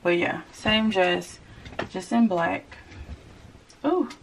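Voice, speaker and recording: A woman's voice: medium pitch, drawn-out words, rough recording. A woman in her thirties chatting casually to viewers as she shows clothes in her closet, in a rush and calling them y'all. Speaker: vlogger. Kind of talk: casual clothing haul chat. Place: walk-in closet at home. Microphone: camera set up across the closet. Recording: hissy home video.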